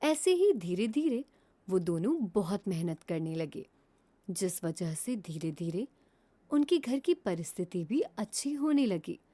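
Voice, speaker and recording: A woman narrating a children's cartoon moral story in a lively storytelling way. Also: medium pitch, unhurried.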